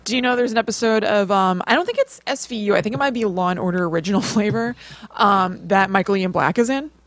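A woman's voice: mid-range voice, fast talker, no echo. A woman co-hosting a podcast in casual conversation, informal, reacting and asking questions with short interjections. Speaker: podcast co-host.

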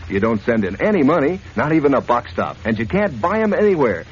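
A man's voice: big pitch swings, a little fast, lightly acted. An actor playing a boy's friendly older pal in a 1940s radio advert, chummy and salesman-like. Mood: chummy, promotional. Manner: chummy, pitching. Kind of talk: 1940s radio acting in a sponsor spot. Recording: dull, noisy old radio recording.

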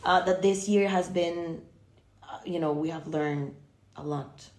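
A woman from Costa Rica, a podcast guest, chatting casually and pausing often to find her words. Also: sits low, fairly even intonation, darkish tone. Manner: hesitant, many fillers and restarts, searching for words. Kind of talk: casual chat. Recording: roomy echo.

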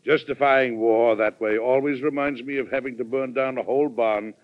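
Actor as measured, cautious president, muffled old radio. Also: male voice, medium pitch, fairly even intonation, natural voice. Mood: measured, reserved. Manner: measured, reserved, polite. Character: courteous, cautious, thoughtful wartime president. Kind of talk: old-time radio drama acting. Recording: muffled vintage radio broadcast recording.

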